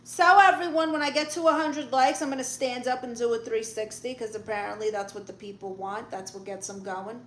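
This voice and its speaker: A woman's voice: on the high side, steady tone, a little fast, few pauses, slight background noise, low-quality recording. A woman with a New York accent hosting a live stream, reading viewer comments aloud in a brash, sassy, joking way. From Brooklyn. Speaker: livestream host.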